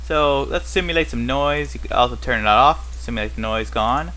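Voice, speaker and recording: A man's voice: mid-range voice, moderate pace, sharp tone. A man giving casual, unscripted gameplay commentary as host, rambling and thinking aloud with wry, puzzled questions to himself. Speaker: host of a gaming series.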